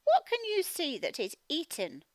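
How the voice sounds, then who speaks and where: A woman's voice: high-pitched, wildly swooping pitch, moderate pace, darkish tone, no room sound. A woman reading a storybook as a phonics teacher, sounding out words and putting questions to young children. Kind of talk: interactive storybook read-aloud lesson.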